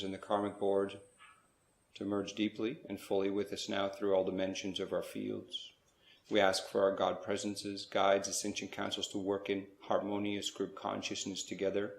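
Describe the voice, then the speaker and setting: Low, even-toned man guiding a meditation, roomy echo. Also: gravelly. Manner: measured delivery in short phrases with pauses. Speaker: guided meditation leader. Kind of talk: slow guided spiritual meditation.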